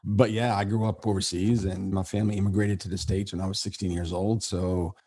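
Deep husky man, earnest and reflective. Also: level tone, fairly quick, warm tone. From Syria. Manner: earnest, reflective, rambling with many restarts. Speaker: podcast host being interviewed. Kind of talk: conversational podcast interview.

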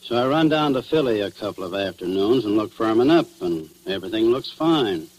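A man's voice: on the low side, expressive, brisk, a little mellow. An actor playing a cynical crooked policeman with slangy tough-guy talk, in 1940s radio drama style. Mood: confessing, rueful. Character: crooked male cop confessing to murder, slangy, cynical. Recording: noisy, humming 1940s radio recording.

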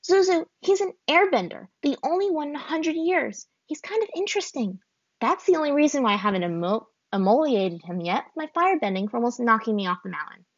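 A woman's voice: high voice, very animated, brisk, dry recording, dull audio, pitched above the performer's own voice. A woman voicing a sassy, teasing young firebender girl who talks down to others. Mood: scornful.

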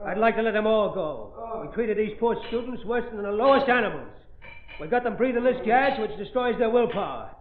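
A man's voice: high voice, expressive, brisk. A man playing a conscience-stricken mine foreman, agitated and protesting, in an old-time radio drama. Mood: defiant, distraught. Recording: tinny, echoing old radio recording.

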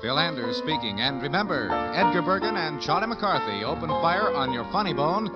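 A man's voice: a little high, lively intonation, brisk, lo-fi sound, over loud music. An American man working as a radio announcer, reading scripted credits and promos in a polished mid-century broadcast style.

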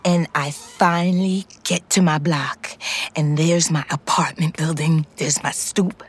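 A woman's voice: deep voice, steady tone, measured pace, slightly rough. An actress playing a streetwise middle-aged female ex-convict, telling her story in a profane, intense, conversational audio drama monologue. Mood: reflective. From New York City, United States.

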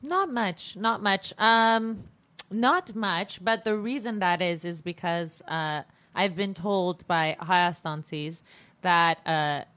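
A woman singer from Armenia with an Iranian Armenian accent, chatting on a podcast. Her voice sits a little low for a woman. She speaks candidly, in long unhurried stretches, and laughs easily. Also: fairly bright tone. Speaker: singer guest. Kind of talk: casual podcast chat.

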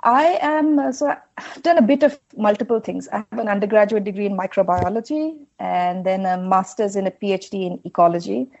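Mellow-voiced South Indian woman academic. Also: mid-range voice, a little fast, creaky, lo-fi sound. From Bangalore, India. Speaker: academic and author, interview guest. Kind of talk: thoughtful explanatory interview talk.

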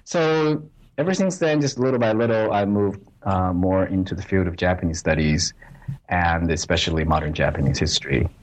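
A man from China, a history professor answering interview questions in a halting way with many fillers. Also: deep voice, poor audio. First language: Chinese.

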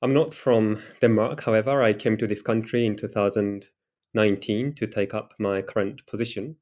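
A middle-aged man from Japan, a political theorist answering interview questions in a careful, measured way. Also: fairly low pitch, steady tone, a little mellow. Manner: careful, measured explanation with occasional fillers.

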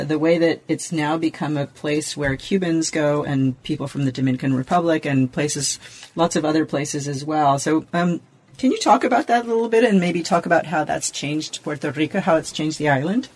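A man interviewing a guest in a conversational way, with informal, roundabout questions. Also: on the high side.

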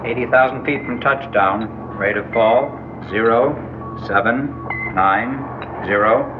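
Male actor as spaceship pilot: crisp, dutiful, procedural, mid-range voice. Old radio sci-fi, very tinny sound. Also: medium pitch, measured pace, over music, close to an everyday voice. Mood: clipped, procedural. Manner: crisp, procedural call-outs. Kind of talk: old-time science-fiction radio drama acting. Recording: tinny, noisy vintage radio recording.

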